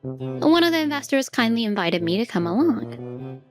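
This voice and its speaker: Bold, animated, teasing woman acted. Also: medium pitch, normal speed. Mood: cool challenge. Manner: mock-polite, challenging. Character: bold, teasing, sharp-witted young woman exposing a liar. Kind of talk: manga dub acting. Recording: music clearly behind voice.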